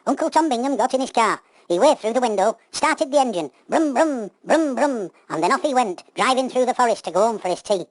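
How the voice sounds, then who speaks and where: A woman's voice: a little high, expressive, brisk, few pauses, dry recording, low-quality recording. A woman telling a homely children's pantomime story.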